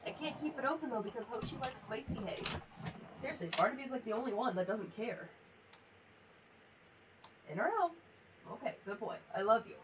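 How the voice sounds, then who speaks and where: A woman's voice: fairly high pitch, animated, fairly quick, pauses often, recorded from a distance, lo-fi sound, noisy background. A woman livestreaming a game with casual, candid chat, swearing freely in a wry way and reading bits of text aloud. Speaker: livestream host. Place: home office.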